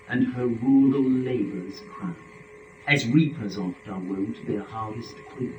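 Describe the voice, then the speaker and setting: A man narrating epic verse in a dramatic recitation, with solemn, deliberate, theatrical phrasing. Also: medium pitch, a little mellow. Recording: echoey room, distant mic, faint noise.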